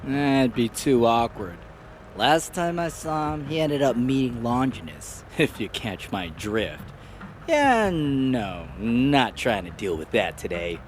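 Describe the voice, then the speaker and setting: A man's voice: mid-range voice, sing-song, takes it slowly, a little hiss or hum. A man playing a flippant, sardonic, evasive immortal in an audio drama. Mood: joking reluctance.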